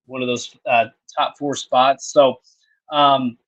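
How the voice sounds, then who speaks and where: A man in his thirties, a co-host who lays out his analysis in a measured way and cites stats in casual chat. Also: medium pitch, flat delivery, fairly bright tone, muffled recording. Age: 30s. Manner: measured, explanatory, citing stats.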